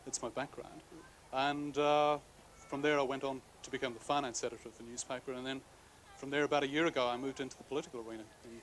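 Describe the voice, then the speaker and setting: A man from Ireland with an Irish accent softened by years in Australia, a journalist answering interview questions with many hesitations. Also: mid-range voice, steady tone, quick pace, slight background noise, rough recording. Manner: hesitant, many fillers and restarts. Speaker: political journalist.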